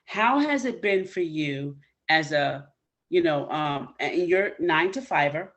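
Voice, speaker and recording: A woman's voice: low voice, expressive, unhurried, a bit bright, dull audio. A woman hosting an interview podcast, talking enthusiastically and emphatically urging her listeners on. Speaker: podcast host.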